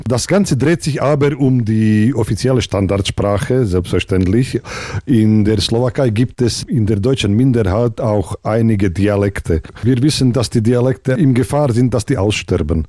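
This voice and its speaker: Man, radio interviewer, lowish voice, dark warm tone, measured questions. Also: dry recording.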